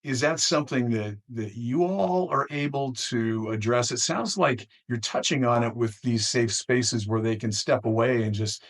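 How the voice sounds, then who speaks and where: An American man hosting an interview, speaking in a measured, deliberate conversational way. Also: mid-range voice. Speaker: interview host.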